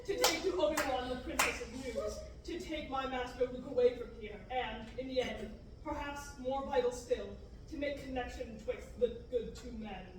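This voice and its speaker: A young woman reading a small, determined droid's soliloquy in rhyming verse, loud and amused, at a table read. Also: high-pitched, moderate pace, natural voice. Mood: resolute. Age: young adult. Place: large classroom indoors. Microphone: far camera. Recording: very echoey room, far-off mic, background noise.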